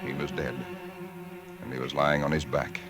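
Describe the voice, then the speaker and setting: An actor playing a frightened man waiting alone in an empty house, telling his story in a hushed, haunted voice. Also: very deep voice, level tone, normal speed. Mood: resigned. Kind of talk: 1940s radio drama narration. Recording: 1940s radio broadcast with loud music. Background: music score.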